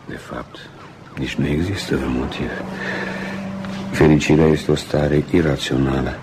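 An actor playing a brooding, cynical scientist in a Romanian radio drama, speaking bluntly and dismissively. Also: male voice, extremely low pitch, steady tone. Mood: cold, dismissive. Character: brooding, cynical male biologist, hard-drinking and rational. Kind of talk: Romanian radio theatre acting. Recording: noisy old radio drama recording.

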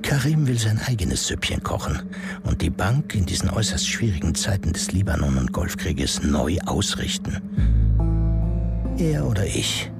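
A man playing a calculating, powerful political fixer in a radio drama, speaking in a measured way. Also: deep voice, vocal fry, full character voice. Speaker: political operator. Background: music.

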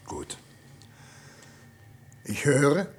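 An actor playing an older, formal and skeptical professor in a science fiction radio play. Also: male voice, fairly high pitch, animated, very slow, breathy edge, full character voice. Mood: guarded, formal.

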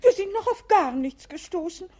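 Fretful motherly governess, very high voice, 1950s radio-drama style. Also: expressive, normal speed, a little mellow. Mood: weary, anxious. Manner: anxious, motherly stage delivery. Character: fussy, fretful female governess of a princess. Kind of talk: 1950s radio play acting.

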